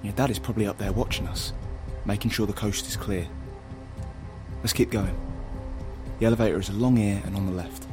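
A man acts an earnest male rebel guide in an audio drama. He has a fairly low voice and speaks with protective, insistent conviction, like someone who knows the plan. Music plays behind him. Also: fairly even intonation, fairly quick, long pauses, lightly acted. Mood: calm, reassuring.

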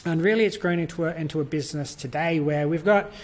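A man with an Australian accent talks through his work as an interview guest, pausing often on small fillers and laughing lightly. His voice sits fairly high for a man. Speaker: guest, virtual events business owner. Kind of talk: conversational interview.

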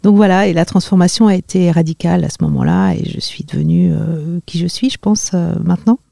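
Gravelly-voiced woman in her fifties. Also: on the low side, big pitch swings, brisk, dark tone, no room sound, clean studio sound. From Paris region, France. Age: 50s. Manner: hesitant, many fillers. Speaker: guest. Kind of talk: podcast interview.

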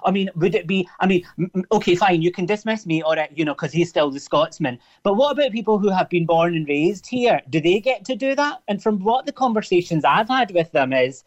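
A man with a Glaswegian Scottish accent, a radio guest on the phone, talking in a halting way and often repeating and restarting his words. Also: sits high, expressive, dull audio. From Scotland, Glasgow. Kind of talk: casual radio chat.

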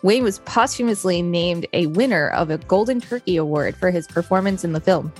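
Low-voiced woman podcast co-host. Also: animated, brisk, soft background music. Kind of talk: reading aloud from an article.

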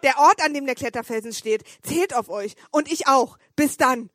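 A woman's voice: high voice, normal speed, no echo, close to an everyday voice. A woman playing a flustered, dramatic client who briefs boy detectives in a comic audio play. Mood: dramatic, urgent. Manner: dramatic, impatient.

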